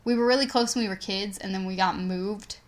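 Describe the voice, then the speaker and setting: A woman's voice: mid-range voice, fairly quick. A young woman co-hosting a podcast, chatting casually and rambling with lots of fillers and frequent giggles. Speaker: podcast co-host. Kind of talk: casual chat.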